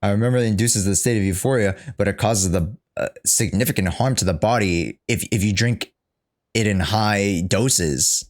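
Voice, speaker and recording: A man's voice: lowish voice, normal speed. A young man chatting casually as a livestreamer, reacting to a game story in an informal, joking, sweary way. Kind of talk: casual livestream chat and commentary.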